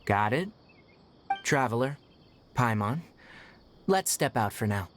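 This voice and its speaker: An actor voicing a young male forest ranger and healer in a video game, calm and matter-of-fact with a light teasing edge. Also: mid-range voice, slow. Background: game music.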